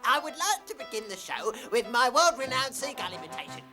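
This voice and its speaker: Jaunty Viking show-off mimic , voiced by a woman, high and lively, music clear behind. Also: fairly quick. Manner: jaunty, self-important announcing. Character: dim, cheerful Viking sailor and show-off mimic.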